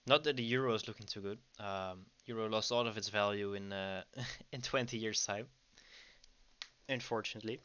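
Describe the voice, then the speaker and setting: A man with a Dutch accent talks his livestream viewers through trading charts in a loose, chatty way, often asking "right?". His voice sits fairly low but has a bright, forward edge. The stream audio is dull and lo-fi. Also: steady tone, normal speed, clean tone. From Netherlands. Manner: chatty, frequent ums and "right?" tags. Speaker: livestream host teaching trading. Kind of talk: casual livestream teaching.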